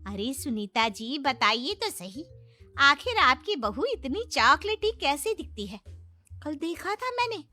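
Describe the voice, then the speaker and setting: A woman's voice: sits high, very animated, unhurried, pushed and bright, no echo, faint music behind, full character voice. A woman voicing a nosy, eager middle-aged neighbor in a cartoon story, always hungry for gossip.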